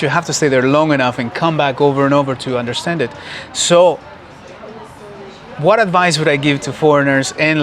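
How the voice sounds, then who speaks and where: A man whose first language is Spanish talks as an interview guest, earnest and reflective. His voice sits a little high, and he takes his time with his points outdoors in the city. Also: fairly bright tone. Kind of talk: casual interview.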